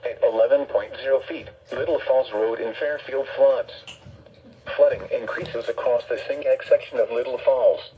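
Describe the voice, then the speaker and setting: A synthetic male announcer reading a scripted emergency weather bulletin in a flat, formal way through a weather radio speaker. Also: medium pitch, normal speed. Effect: played through weather radio speaker. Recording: dull radio speaker, faint background noise.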